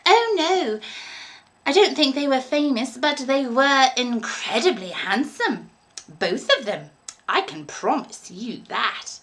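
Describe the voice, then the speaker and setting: A woman's voice: sits high, lively intonation, takes it slowly, a bit bright, voice pushed up, full character voice. A woman reading a storybook aloud, voicing a dainty older landlady who is sweet, fussy and eerily doting, with a gushing, genteel, cooing manner.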